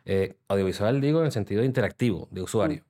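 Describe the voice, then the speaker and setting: Venezuelan guy in his 30s, kinda low voice, talks through his work step by step on a podcast. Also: animated, fast talker, mellow. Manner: explains at length, methodical. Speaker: guest, software developer. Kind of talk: conversational podcast interview. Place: podcast studio.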